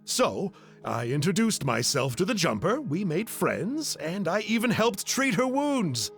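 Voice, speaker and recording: Game role: hammy, self-pitying storyteller uncle, high and very lively. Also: male voice, a little slow, faint music behind, full character voice. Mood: theatrical. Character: boastful, theatrical adult male storyteller uncle. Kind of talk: video game voice acting.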